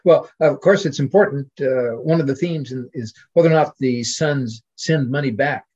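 An older man with a husky voice and a medium pitch talks as a scholarly guest. He is measured and reflective, pausing to consider his points. The recording is slightly dull and lo-fi. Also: darkish tone. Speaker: literary scholar, podcast guest. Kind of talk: reflective academic conversation.

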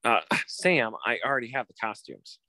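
A man acts out a brash young detective in the old radio style, boastful and glib. His pitch swings widely as he pushes his pitch like a showman, in a dry, close sound. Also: expressive, sharp tone, dry recording, low-quality recording. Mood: brisk, smug. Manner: fast-talking, theatrical, boastful showman. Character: brash, glib, pushy young male detective seeking a job. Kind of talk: old-time radio detective comedy acting.

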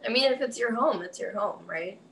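A woman's voice: a little high, fairly quick, creaky, fairly bright tone, slight background noise. A young woman as an interview guest, chatty and giggly, peppering her talk with "like". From Milwaukee area, USA. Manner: chatty, giggly, says "like" often. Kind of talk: casual interview chat.

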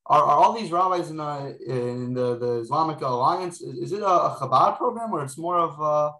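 A man interviewing a guest, asking questions haltingly and repeating words. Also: a little high, sharp tone. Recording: echoey room.

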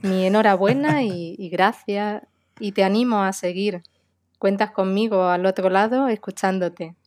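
A woman from Spain with a Spanish accent, recording an articulate, steady voice message for a podcast. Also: medium pitch, unhurried, dry recording, clean studio sound. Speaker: listener sending a voice message.

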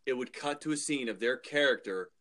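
A man's voice: medium pitch, fairly quick, bright, forceful tone. A man in his thirties hosting a casual podcast chat, informal, emphatic and opinionated. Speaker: podcast host.

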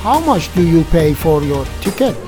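A woman co-narrating a community video in an expressive, questioning scripted read. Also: low voice, moderate pace, music in the background.

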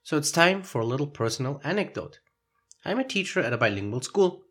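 A man's voice: fairly high pitch, very animated, fairly quick, fairly bright tone, breathy edge. A man with a foreign accent hosting a solo podcast, explaining his ideas in a reflective way. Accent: foreign accent in English. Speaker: podcast host.

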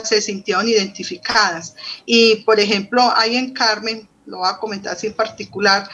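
A woman's voice: medium pitch, sharp tone, a little hiss or hum, rough recording. A woman with a Colombian accent speaking as an interview guest, recounting her experience conversationally and often rephrasing as she goes. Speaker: guest, professor.

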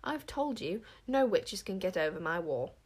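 A woman's voice: mid-range voice, very animated, normal speed. Storybook monarch: haughty, withering warrior queen, performed by a woman, cold and commanding. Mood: exasperated. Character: imperious, icy warrior queen, haughty and scornful.

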